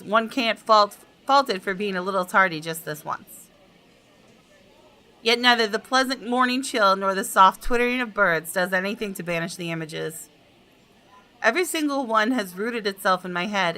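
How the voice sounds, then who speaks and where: A woman's voice: medium pitch, pushed and bright. A woman hosting a gaming video, switching between casual commentary and reading story text aloud.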